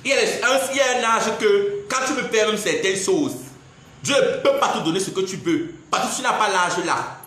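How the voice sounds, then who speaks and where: A young man with a Cameroonian French accent rants to his viewers, indignant and mocking, laughing between jabs. His voice sits high and sounds bright and sharp. The sound bounces around a very echoey room. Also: moderate pace. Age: 20s. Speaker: social media personality. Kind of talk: ranting live video monologue.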